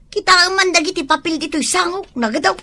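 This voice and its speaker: A woman's voice: very high-pitched, fast talker, pushed and bright, no room sound, heavily acted. A woman playing a pushy coworker wanting a loan. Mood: irritated. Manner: pleading, insistent. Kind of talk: radio drama acting.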